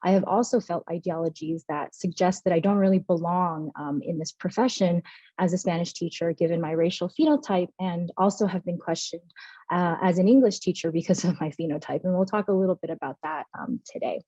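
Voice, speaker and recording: A woman's voice: lowish voice, a little fast, dark tone, no room sound. A woman from the United States giving an earnest academic webinar talk over a video call, with many filler sounds. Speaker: presenter. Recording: dull lo-fi call audio.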